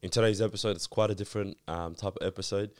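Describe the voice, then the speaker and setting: A man's voice: lowish voice, fast, dry recording, studio quality. A man born in Somalia, hosting a casual interview podcast and talking loosely with lots of fillers. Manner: relaxed, filler-heavy, says "like" and "type of thing" often. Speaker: podcast host.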